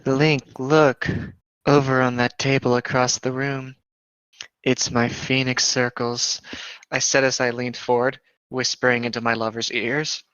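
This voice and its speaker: A man's voice: mid-range voice, little pitch movement, measured pace, fairly bright tone, smooth, no echo. A man reading a story aloud casually and adding his own commentary, on a slightly lo-fi microphone. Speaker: co-host of a comedic fan fiction reading show.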